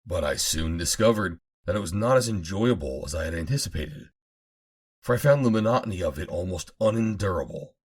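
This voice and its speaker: A man reading an adventure novel aloud as an audiobook narrator, in a measured, formal storytelling manner. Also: sits low, lively intonation, slightly rough. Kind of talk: dramatic scripted narration of an old adventure novel.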